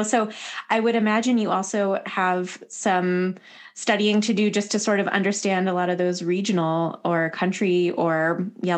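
A woman hosting a podcast, asking long, meandering questions full of ums and restarts. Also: mid-range voice. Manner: rambling, restarts, many ums. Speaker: podcast host. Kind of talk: conversational interview questions.

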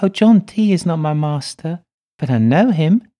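A man's voice: a little high, lively intonation, normal speed, warm tone, pitched above the performer's own voice, natural voice. A man voicing a little girl spirit who speaks through a medium, sweet and teasing, in an audiobook. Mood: coy. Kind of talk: audiobook character voice.

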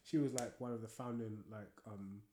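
Young man, casual podcast co-host, mellow tone, roomy sound. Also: on the low side, steady tone, moderate pace, a little hiss or hum. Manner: storytelling with many fillers and restarts. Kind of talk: casual conversation. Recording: echoey room.

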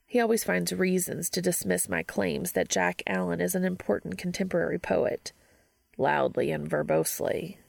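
A woman voicing an earnest, reserved male professor in a story reading, measured and formal. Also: lowish voice, fairly even intonation, gravelly, darkish tone, no room sound.

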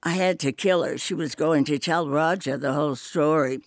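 A man's voice: fairly high pitch, moderate pace, dry recording, clean studio sound, close to an everyday voice. A man voicing a cruel, scheming older sister who talks in a cold, mocking way. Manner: cold, contemptuous, taunting.

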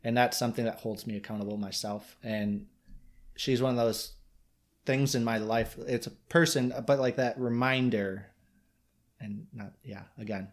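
A man's voice: mid-range voice, steady tone, moderate pace. A man from Michigan with a Yooper accent talks as a guest in a casual interview. He is earnest and reflective, circling back and restarting his thoughts as he goes. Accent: Michigan Upper Peninsula.

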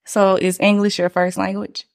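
A woman's voice: medium pitch, little pitch movement, normal speed. A woman, hosting a casual podcast chat, talking loosely with lots of fillers. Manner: chatty, lots of "like" fillers, laughs easily. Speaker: podcast host.

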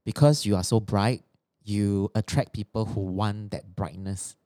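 A man's voice: on the low side, unhurried, mellow, no echo, clean studio sound. A man co-hosting a podcast in casual, reflective and agreeable conversation. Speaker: podcast host. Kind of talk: casual chat.

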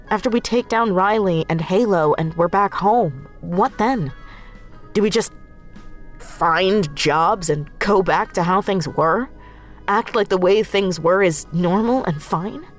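A woman's voice: lowish voice, takes it slowly, soft background music. A woman playing a practical, thoughtful tech expert in a naturalistic audio drama.

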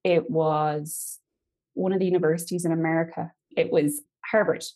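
A woman's voice: deep voice, flat delivery, moderate pace. A young adult woman from Ireland with an Irish accent, guest on a podcast, chatting and telling family stories with easy laughs and a level that rises and falls. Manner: chatty, drifts between loud and quiet, laughs easily. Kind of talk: casual storytelling conversation.

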